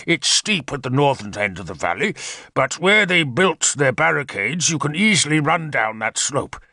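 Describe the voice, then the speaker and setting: A man's voice: a little high, big pitch swings, normal speed, pushed and bright, no room sound, voice pushed up, heavily acted. A man voicing an eager, commanding warlord. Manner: eager, decisive, explaining battle plans. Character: confident commanding male war leader. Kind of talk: audiobook character reading.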